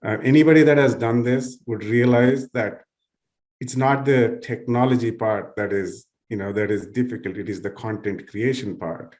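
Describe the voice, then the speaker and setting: A man's voice: medium pitch, moderate pace, a little mellow. A man speaking on a panel as a nonprofit tech leader, with loose, searching phrasing and many fillers. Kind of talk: panel discussion.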